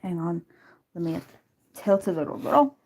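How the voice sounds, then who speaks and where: A woman from Turkey with a Turkish accent, whose first language is Turkish, chatting casually on a live stream, excitable and greeting viewers by name. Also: fairly low pitch, moderate pace, slight background noise.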